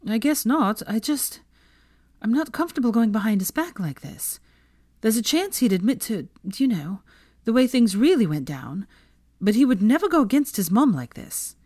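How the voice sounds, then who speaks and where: A woman's voice: fairly high pitch, big pitch swings, moderate pace, mellow, no room sound, studio quality, pitched above the performer's own voice. A woman voicing a nervous, guilty young woman who pleads and flusters easily in a storybook reading. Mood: uneasy.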